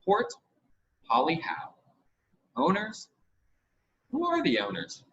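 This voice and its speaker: A man voicing an eager, commanding boy captain in a storybook, brisk and orderly. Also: on the high side, huge pitch swings, a bit bright, full character voice. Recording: muffled home recording with hiss.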